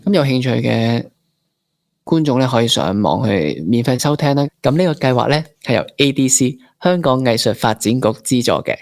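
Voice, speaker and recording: A man's voice: mid-range voice, lively intonation, normal speed, creaky, no echo. A man with a Hong Kong Cantonese accent hosting a casual interview, informal and mixing English words into his talk. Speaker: podcast host.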